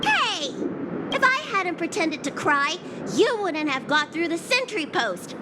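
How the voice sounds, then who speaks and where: A woman's voice: very high voice, very animated, measured pace, heavy background noise, heavily acted. A woman voicing a playful, clever young dragon-girl healer with a childlike, theatrical delivery in a video game. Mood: proud, cheeky. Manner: theatrical, petulant.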